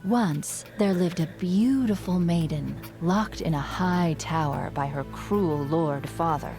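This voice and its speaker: Slow, formal teenage fairy-tale maiden. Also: female voice, lowish voice, over music. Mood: wistful, dramatic. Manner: formal, measured, storybook phrasing. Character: polite, scheming teenage maiden in a tower. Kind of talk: animated fairy tale acting.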